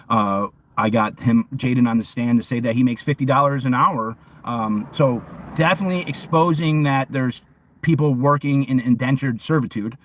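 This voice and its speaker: A man arguing bluntly in a heated conversation, an outspoken loudmouth who cuts in on the other person. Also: mid-range voice. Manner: argumentative, blunt, interrupting; self-described loudmouth. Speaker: activist and protester. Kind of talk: heated phone argument.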